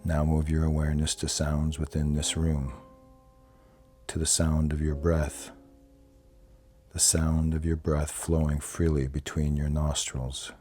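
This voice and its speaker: A man with a very deep voice guides a meditation in a soothing, measured way. His delivery is slow and unhurried, with little rise or fall in pitch. He sounds like a calm relaxation instructor. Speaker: yoga nidra guide. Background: soft plucked guitar music.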